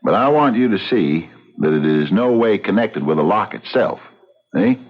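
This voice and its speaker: An actor playing a shrewd, unhurried frontier sheriff in a folksy, deliberate and probing way, in the style of old-time radio drama. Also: male voice, on the low side, lively intonation, breathy edge. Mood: probing, methodical. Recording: dull, noisy old radio recording.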